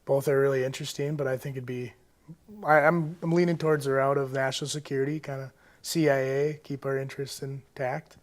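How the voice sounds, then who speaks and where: A man's voice: medium pitch, little pitch movement, fairly quick. A young American man, a college athlete, giving casual, laid-back interview answers in short clipped phrases. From Moorhead, Minnesota, United States. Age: young adult. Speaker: college football player, interview guest.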